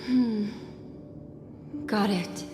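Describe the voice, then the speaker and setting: A woman voice-acting a composed, mysterious guide in a video game, speaking in a measured, elegant way. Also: mid-range voice. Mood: composed, focused. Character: composed, mysterious woman guiding companions through dreamscape. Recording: echoey long reverb, game music underneath.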